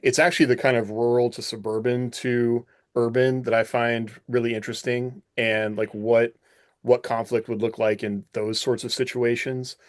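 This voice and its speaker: Guy hosting a podcast, normal pitch, no room echo, just musing casually. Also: flat delivery, normal speed, studio quality. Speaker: podcast host. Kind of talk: casual chat.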